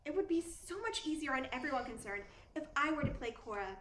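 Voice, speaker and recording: A young, manipulative actress played by a woman in a radio play. Her voice is high and very animated, with theatrical false humility. The recording is roomy with faint noise behind it. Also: brisk. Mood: cool, menacing. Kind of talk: stage-style radio play acting.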